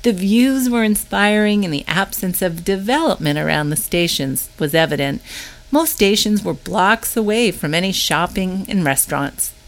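A woman's voice: medium pitch, lively intonation, normal speed. A woman reading a scripted news update aloud as the narrator of a newsletter. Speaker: newsletter reader.

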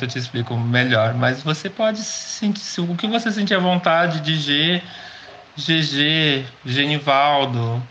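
Man, 40s, from Brazil. Unhurried, chatty interview storyteller; slightly muffled audio with low background noise. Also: fairly bright tone. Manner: chatty, often tags sentences with a questioning "né". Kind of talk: slow personal storytelling. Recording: slightly dull recording with faint background noise.

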